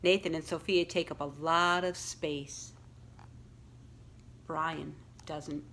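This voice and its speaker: A woman reading a children's story aloud like a school librarian, with deliberate, expressive storytelling phrasing aimed at young listeners. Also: low voice, a little hiss or hum. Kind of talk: expressive picture-book read-aloud with questions to young listeners.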